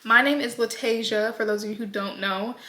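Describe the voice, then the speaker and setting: Bright, chatty woman in her twenties. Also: a little high, steady tone, a little fast. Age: 20s. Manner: chatty, rambling, lots of ums and likes. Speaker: vlogger. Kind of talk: casual get-to-know-me vlog. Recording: echoey room sound.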